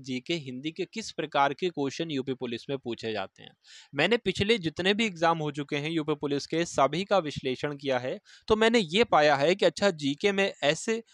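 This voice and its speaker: A man with a moderately high voice talks to students as a coaching instructor. He explains in an informal way, often restating phrases to drive them home. The sound is very dry, with no room echo. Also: a bit bright. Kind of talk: informal advice to exam aspirants.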